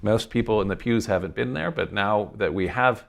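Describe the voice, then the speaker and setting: A man with a low voice talks through his reflections as a lecturer would in a relaxed podcast discussion. His delivery is articulate and thoughtful, often halting as he works out the wording. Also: vocal fry. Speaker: lecturer answering audience questions.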